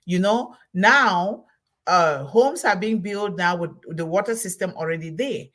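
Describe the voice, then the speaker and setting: A woman's voice: mid-range voice, measured pace, fairly bright tone. A middle-aged woman from Cameroon, hosting a solo motivational talk, emphatic and repeating her key words. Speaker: host of a motivational talk series.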